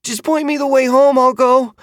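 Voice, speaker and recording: A man voicing a wary young orphan boy, pleading in a hoarse, rushed way, scared but scheming. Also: very high voice, little pitch movement, a bit bright, no echo, pitched above the performer's own voice.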